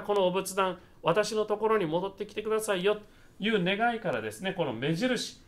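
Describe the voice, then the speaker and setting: Male Buddhist minister from Japan, explanatory and conversational, slightly high voice with very animated pitch movement. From Nagasaki, Japan. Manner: conversational, explains step by step, often ends phrases seeking agreement. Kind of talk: explanatory Buddhist talk with photos.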